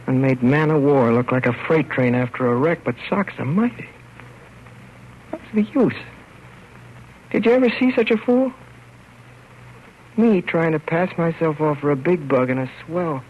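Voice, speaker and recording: Lovesick young American working guy, slangy, self-reproaching, highish voice, old radio acting, dull recording. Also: lively intonation, a little slow, long pauses, a little hiss or hum. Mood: rueful, self-mocking. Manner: slangy, plain-spoken, rueful. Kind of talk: 1930s radio monologue acting. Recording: muffled old radio broadcast.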